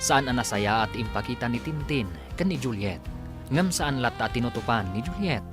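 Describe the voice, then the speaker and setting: A man's voice: mid-range voice, lively intonation, normal speed. A man narrating and announcing a radio drama in a dramatic scripted style. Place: radio studio. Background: music.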